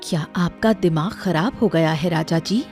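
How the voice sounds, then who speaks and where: A woman voicing a sharp-tongued, disapproving queen in a cartoon, with a pointed, questioning delivery. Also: lowish voice, moderate pace, music in the background, lightly acted. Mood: indignant. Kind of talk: Hindi cartoon dialogue acting.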